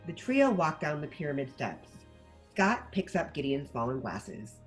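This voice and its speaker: Woman narrator, low pitch, animated intonation, scripted stage directions, faint background music. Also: a little slow. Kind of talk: scripted narration at a table read.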